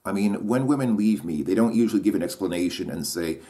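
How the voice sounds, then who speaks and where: Deep-voiced man musing hesitantly. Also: fast talker, warm tone. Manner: hesitant, restarts and repeats himself, self-questioning. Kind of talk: reflective solo monologue.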